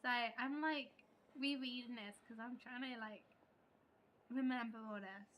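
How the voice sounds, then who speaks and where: Bright high-voiced woman game streamer. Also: fairly even intonation, unhurried. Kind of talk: live playthrough, reading dialogue aloud and reacting. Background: faint game music.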